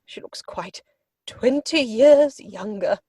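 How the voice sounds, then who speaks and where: A woman's voice: fairly high pitch, expressive, slow, dark tone, husky, no room sound, studio quality, heavily acted. A woman playing an imperious older aristocratic English lady in a theatrical comedy reading, pompous and emphatic. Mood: grand, gossipy.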